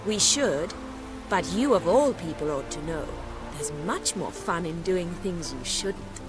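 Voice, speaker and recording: Lively, well-spoken young noblewoman. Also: on the low side, unhurried. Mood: playful, defiant. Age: young adult. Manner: well-spoken, formal turns of phrase. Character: spirited, haughty young noblewoman, an ambassador's daughter. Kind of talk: video game dialogue. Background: background music.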